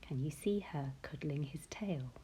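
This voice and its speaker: A woman reading a picture book aloud to young children, expressive and often putting questions straight to her listeners. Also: low-pitched, a little slow, slight background noise, low-quality recording.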